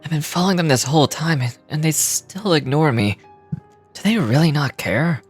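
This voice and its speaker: A woman's voice: deep voice, animated, normal speed, slightly rough, soft background music, pitched below the performer's own voice. Woman as a wary, curious scout. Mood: puzzled. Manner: musing, puzzled.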